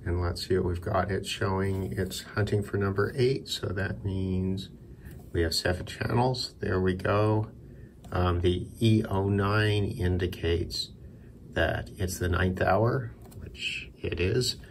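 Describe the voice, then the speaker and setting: A man's voice: low-pitched, steady tone, takes it slowly, vocal fry, slight background noise. A man giving a casual, unscripted radio hobby demonstration, thinking aloud a little hesitantly. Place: indoors in a concrete block building.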